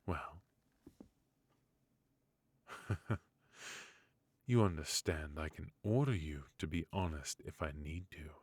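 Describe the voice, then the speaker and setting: Haughty, indulgent royal tutor for fantasy ASMR , played by a man: male, deep, unhurried, formal and quietly bitter. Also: lively intonation, slightly rough, no room sound, exaggerated voice. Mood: amused, commanding. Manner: formal, measured, wry, with musing hums and soft chuckles. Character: forgotten adult male royal mentor, resentful, haughty yet indulgent. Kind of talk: intimate ASMR fantasy roleplay.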